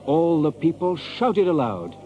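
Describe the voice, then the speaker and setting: An actor playing a measured storyteller, reading scripted narration of a medieval adventure. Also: male voice, on the high side, sing-song, mellow, highs cut off, soft background music.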